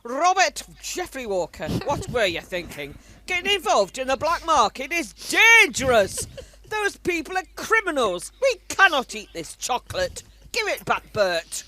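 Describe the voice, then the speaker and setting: A woman's voice: high voice, big pitch swings, measured pace, pushed and bright, a little hiss or hum, heavily acted. A woman playing a scolding but fond older British grandmother in a wartime radio play, chiding in a colloquial way.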